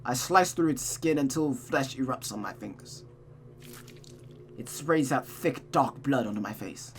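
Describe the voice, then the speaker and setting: Expressive visual novel narrator, noisy background. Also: mid-range voice, unhurried. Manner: expressive, often restarts misread sentences. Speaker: streamer reading a visual novel aloud. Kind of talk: dramatic read-aloud narration with casual asides.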